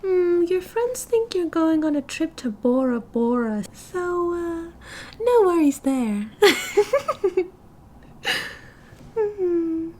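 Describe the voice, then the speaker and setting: A woman's voice: extremely high pitch, a little mellow, a little hiss or hum, exaggerated voice. A British woman playing a cheerful, oblivious and possessive yandere girlfriend in an ASMR roleplay, with a breezy, giggly, dramatic manner. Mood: blithe, reassuring. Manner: giggly, breezy, dramatic, chatty with drawn-out casual delivery.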